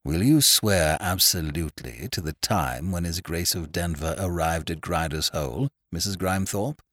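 A man reading a storybook as a cool senior male barrister, formal, measured and probing. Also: deep voice, a bit bright, no echo, clean studio sound.

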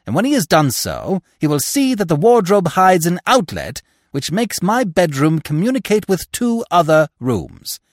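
A man's voice: fairly high pitch, no echo, clean studio sound, pitched above the performer's own voice. A man voicing a vengeful, half-demented middle-aged widow in a storybook, theatrical, swinging between menace and agitated outcry.

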